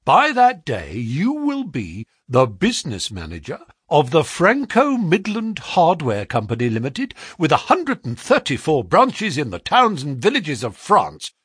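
A man voicing a brisk, sharp-talking middle-aged male financier in a read-aloud story, speaking eagerly and quickly. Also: on the high side, huge pitch swings, slightly rough, dry recording, studio quality, heavily acted.

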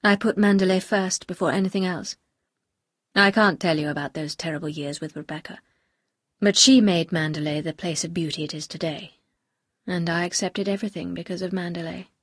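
A woman voicing a bitter, anguished upper-class husband in an audiobook, speaking gravely and confessionally. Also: fairly low pitch, fairly quick, no room sound, studio quality, pitched below the performer's own voice. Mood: grave confession. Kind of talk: audiobook character dialogue.

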